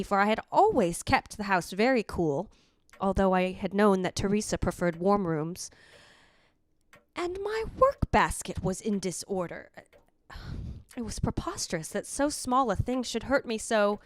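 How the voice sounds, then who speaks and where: A woman's voice: mid-range voice, no echo, natural voice. Actress as a wistful ghostly woman, close and dry. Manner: measured, formal, literary. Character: wistful, fastidious ghost of a dead wife. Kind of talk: old-time radio drama monologue.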